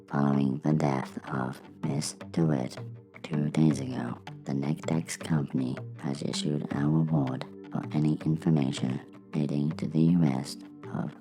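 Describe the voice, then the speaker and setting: An extremely deep, dark-toned robotic news host, voiced by a man. It delivers a formal, impassive bulletin in an audio drama over faint music.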